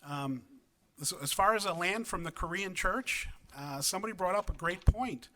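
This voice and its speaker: A man from Wisconsin speaking at a city plan commission meeting, earnest and halting, with many ums and restarts. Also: sits high, expressive, pushed and bright. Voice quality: big voice. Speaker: resident speaking at public comment. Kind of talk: public meeting comment. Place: council chamber.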